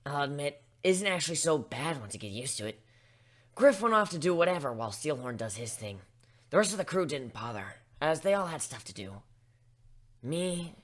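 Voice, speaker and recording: A man reading first-person story narration as an amateur dramatic reader. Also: mid-range voice.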